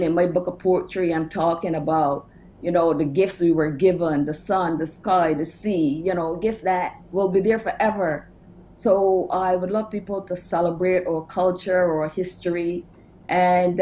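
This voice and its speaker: An older woman with a Barbadian accent chats as an interview guest. Her voice sits on the low side, and there is faint noise on the recording. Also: fairly even intonation, normal speed, warm tone, rough recording. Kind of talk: casual conversational interview.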